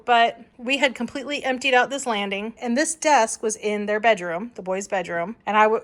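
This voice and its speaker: A hoarse woman vlogging casually as she walks through her family house, chatty and rambling, laughing now and then. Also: a little high, fairly bright tone. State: hoarse from a long cough.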